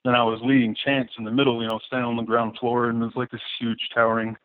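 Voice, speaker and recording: A man's voice: mid-range voice, little pitch movement, fast talker, dry recording. A man, a guest artist, talking casually and rambling with many fillers and restarts. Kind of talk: casual interview talk. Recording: tinny phone-like line.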